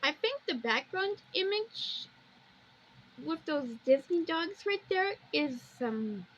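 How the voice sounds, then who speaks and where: A woman giving unscripted, halting commentary on pictures, rambling a little and repeating phrases. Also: very high voice, very animated, a bit bright. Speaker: video host. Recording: dull lo-fi recording with background noise.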